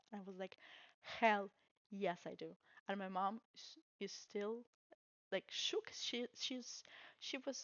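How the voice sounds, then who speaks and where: A woman's voice: medium pitch, gravelly, no room sound, dull audio. A young adult woman from Estonia with an Estonian accent, telling her own story casually in a candid, self-deprecating way. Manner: candid, self-deprecating, chuckles while talking.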